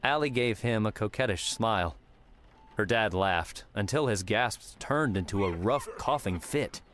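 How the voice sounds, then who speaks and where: A man with a fairly low voice narrates an audiobook. He reads scripted story prose, with some ambient noise behind him. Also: expressive, a little slow, fairly bright tone.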